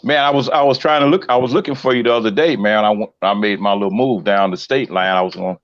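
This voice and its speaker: A man's voice: lowish voice, fast talker. An American man chatting casually as a live stream guest, in familiar, brotherly slang. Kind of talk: casual chat. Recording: dull lo-fi stream connection.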